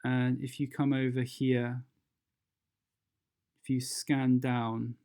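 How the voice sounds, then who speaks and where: A presenter narrating a casual step-by-step software tutorial, informal and instructional, thinking aloud along the way. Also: medium pitch, little pitch movement, slow.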